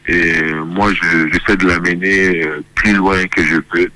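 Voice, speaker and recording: Male musician guest from Congo, low bright voice, casual and halting delivery. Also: fairly even intonation, no room sound. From Congo, Kinshasa. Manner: hesitant, searching for words. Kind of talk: casual interview talk.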